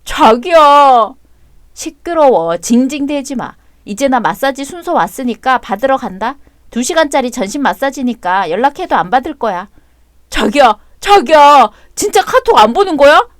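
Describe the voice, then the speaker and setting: Woman playing a frazzled dad, whiny and pleading, lively pitch swings. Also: moderate pace, no room sound, clean studio sound. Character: whiny overwhelmed young father and husband.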